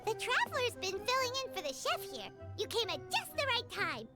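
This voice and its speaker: A woman's voice: extremely high pitch, wildly swooping pitch, brisk, full character voice. A woman voicing a tiny floating girlish companion in a video game, chatty and bossy, who talks about herself in the third person. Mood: chirpy. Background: loud game music.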